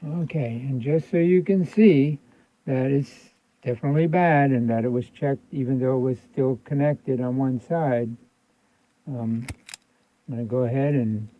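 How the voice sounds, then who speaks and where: A man's voice: medium pitch, a little hiss or hum. Man in thin, phone-like audio, tutoring informally. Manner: informal, thinking aloud, repeating points. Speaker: electronics repair instructor. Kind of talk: hands-on tutorial narration, casual and explanatory. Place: indoor electronics workbench. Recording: thin phone-like band-limited sound.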